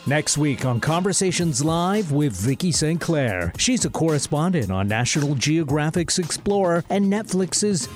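A man announces a scripted radio promo. His pitch runs a bit high and moves around a lot, with soft music underneath. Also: very animated, measured pace, hardly any pauses.

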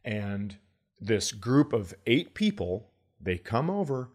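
A man hosting a comedy podcast, telling stories in an animated, grumbling, sweary way and acting out quotes. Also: on the low side, takes it slowly. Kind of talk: comic storytelling in casual podcast chat.

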